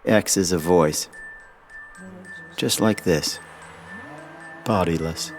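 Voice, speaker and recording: A man narrating a poetic radio play in measured, sparse phrases. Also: deep voice, long reverb tail, soft background music.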